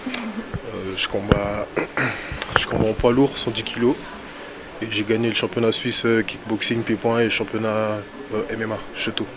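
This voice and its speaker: A man's voice: fairly low pitch, a little slow, a crowd in the background, rough recording. A man in his twenties, a heavyweight fighter, answering briefly and casually on a handheld mic. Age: 20s. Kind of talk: group interview. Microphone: handheld mic with windscreen.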